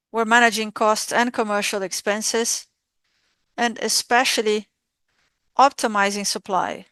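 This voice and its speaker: Clear-voiced woman on an earnings call. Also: mid-range voice, fairly bright tone, no echo. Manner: measured, halting phrasing in short segments. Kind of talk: formal earnings call presentation, spoken live.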